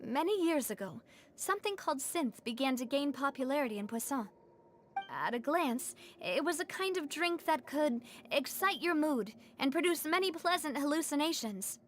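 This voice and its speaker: High-voiced, earnest young lady. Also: animated, moderate pace, slight background noise. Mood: grave, explaining. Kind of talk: scripted game dialogue.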